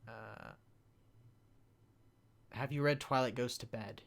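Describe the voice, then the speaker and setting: A young man chatting casually and off the cuff as a panelist, haltingly reading out chat questions and answering them. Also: mid-range voice, steady tone, creaky, slight background noise.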